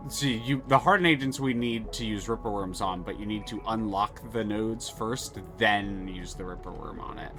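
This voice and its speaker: A man's voice: fairly low pitch, normal speed, hardly any pauses, over music. A man streaming a story game live, reading narration aloud and thinking aloud between moves, with frequent restarts.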